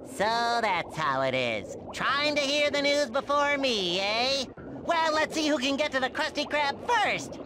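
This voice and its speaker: A childlike, eager cartoon sea creature speaks in a high, squeaky, nasal voice. The delivery is earnest and excitable, with clear noise in the game audio. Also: male voice, a little slow, full character voice. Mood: playful. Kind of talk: cartoon video game acting.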